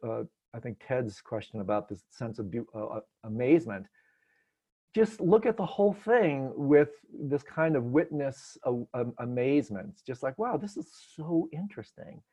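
Male meditation teacher from Detroit, mid-pitched, gentle and searching, on a muffled call line. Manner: gentle, searching, many restarts. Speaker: meditation teacher answering questions. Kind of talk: relaxed spoken teaching, unscripted. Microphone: video call.

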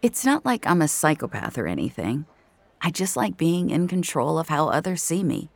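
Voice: medium pitch, big pitch swings, moderate pace, no room sound. An actor playing an introspective young regular of a virtual-reality world, narrating an audio drama in an intimate, confiding way. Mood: reflective. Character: introspective young VR social-space regular named Jamie. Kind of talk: first-person scripted audio drama narration.

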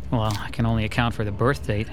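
An actor playing a hesitant, uneasy sketch artist haunted by déjà vu, in classic radio drama style. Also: male voice, fairly low pitch, fairly even intonation, fast, noisy background, lightly acted.

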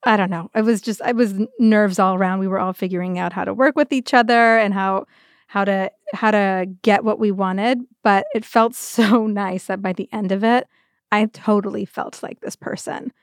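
Young woman on a podcast, giggly n excited, chatty, really dry close sound. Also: medium pitch, normal speed, clear, resonant tone, dry recording. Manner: enthusiastic, giggly. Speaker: podcast co-host and actor. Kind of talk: casual podcast chat.

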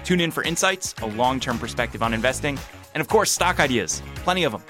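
A man reads a scripted podcast promo as its host. He talks quickly at a middling pitch, with guitar music clearly playing behind him. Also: lively intonation, a bit bright.

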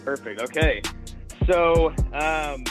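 A man's voice: fairly high pitch, takes it slowly. A man hosting a casual sports podcast interview, asking wordy questions in a hesitant way. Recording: dull, lo-fi, dry, music behind.

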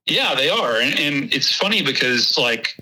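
A man with a Texas accent, a guest chatting casually about a hunting trip, laughing often and pausing with ums. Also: mid-range voice, sing-song, noisy background. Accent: Texan. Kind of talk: casual interview chat.

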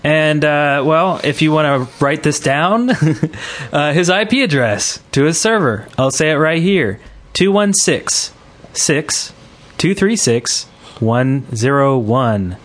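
A man hosting a podcast in a casual, halting way with frequent fillers. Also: on the high side, lively intonation, a bit bright. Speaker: podcast host.

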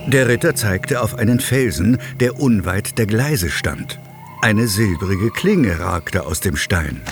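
A man narrating a children's audio drama as a wry, expressive storyteller. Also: fairly low pitch, slow pace. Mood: wry, storytelling.

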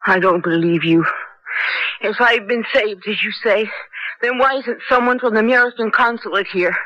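A woman's voice: mid-range voice, normal speed, natural voice. An actress playing a distrustful, defiant young woman held as a political prisoner in a 1970s radio drama. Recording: muffled vintage radio broadcast.

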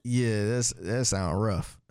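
A man's voice: lowish voice, slow, a little mellow, slight background noise. A man co-hosting a casual chat, easygoing and laughing often. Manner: laughing a lot, amused and easygoing. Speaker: podcast co-host.

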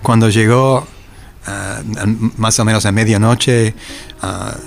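An older man from the United States with an American accent, a native English speaker, answering interview questions reflectively and haltingly, often repeating syllables as he searches for words. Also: on the low side, fairly even intonation, vocal fry, faint music behind. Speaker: interviewee, academic eyewitness.

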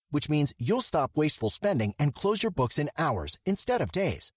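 A man's voice: medium pitch, slightly rough, dry recording, clean studio sound. A man delivering a scripted podcast advertisement as a sponsor read. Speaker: ad reader.

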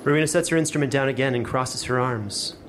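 A man's voice: mid-range voice, quick pace, a little hiss or hum. A man giving scripted narration for a fantasy story.

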